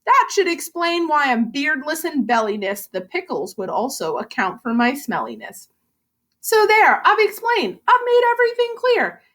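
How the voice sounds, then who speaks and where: A kvetching old man bluffing his way through as Santa, performed by a woman reading a storybook. The voice is high for the part and swoops about with bluster. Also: sing-song, normal speed, fairly bright tone.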